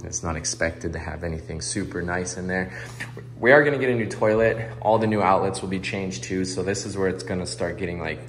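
A young man chatting casually to his viewers as he walks through an empty condo under renovation, filming himself on a handheld phone. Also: low voice. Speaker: real estate investor vlogger. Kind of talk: casual walkthrough vlog. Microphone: phone camera held at arm's length.

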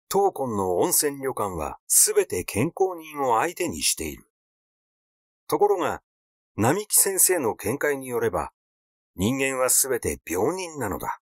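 A man reading a literary story aloud as a scripted narration, measured and expressive in his delivery. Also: medium pitch, no room sound, studio quality. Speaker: audiobook reader.

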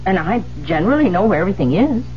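An actress playing a deferential, efficient household secretary in a 1970s radio drama. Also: female voice, mid-range voice, very animated, very fast, a little hiss or hum, lightly acted. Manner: polite, deferential. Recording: muffled old radio broadcast.

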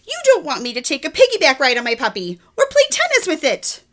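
A woman voicing an excitable, whiny male pigeon from a picture book, speaking high, loud and pleading. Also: brisk, a little hiss or hum.

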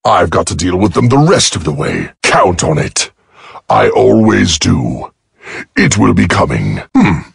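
An actor playing a cocky, gruff, battle-loving giant robot soldier in a video game, raspy and gravelly, with a swaggering, wisecracking delivery. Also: male voice, low voice, very animated, a little slow, no room sound, clean studio sound, heavily acted. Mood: wry, cocky.

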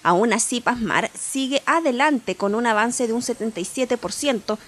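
A woman reporter reading a scripted news voice-over in a measured, even way. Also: fairly high pitch.

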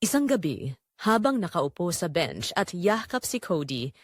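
A woman's voice: on the low side, sing-song, measured pace, dry recording, studio quality. A young woman with a Filipino accent narrating a scripted first-person romance story in an emotive, reflective way.

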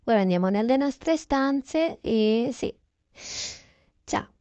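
A woman's voice: fairly high pitch, expressive, slow, mellow, no echo. A woman narrating a casual improvised roleplay of her day, chatty and correcting herself as she goes. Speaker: narrator voicing a toy-game roleplay video.

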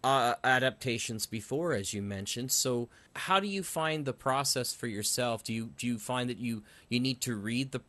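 A man's voice: medium pitch, moderate pace, few pauses, fairly bright tone. A man interviewing guests conversationally as a host, thinking aloud in long, rambling questions.

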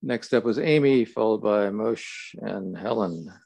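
A man's voice: on the low side, unhurried, creaky, darkish tone, husky, dry recording. A man chairing a public meeting, making brief announcements of who speaks next.

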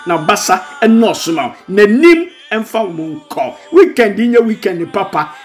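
Male pastor, Ghanaian accent, high-pitched, exhorting devotional sermon, repeated phrases, music behind. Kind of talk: devotional sermon with prayer and blessings. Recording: music bed under the voice.

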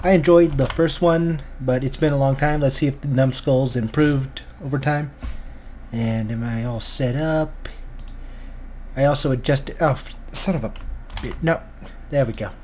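A man's voice: medium pitch, steady tone, normal speed, darkish tone. A young man giving casual, hesitant, sweary reaction commentary at home as a video host. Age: young adult. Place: small room at home, indoors. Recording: faint background noise.

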